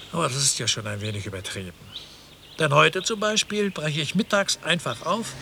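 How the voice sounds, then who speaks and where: A man's voice: a little high, creaky, bright, forceful tone, full character voice. An actor playing a pedantic, self-satisfied, dutiful male office worker in a German radio drama, prim and sententious in manner. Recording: faint noise, early-1980s radio drama tape.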